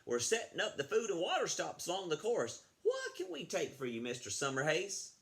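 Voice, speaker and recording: A man's voice: sits high, huge pitch swings, normal speed, a bit bright, voice pushed up, heavily acted. A man reading a storybook, voicing a polite, officious male race official. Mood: courteous.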